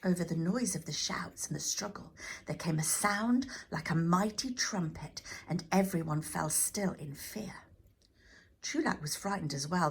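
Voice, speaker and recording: A woman reading a children's storybook aloud as scripted, expressive narration. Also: deep voice, gravelly, husky.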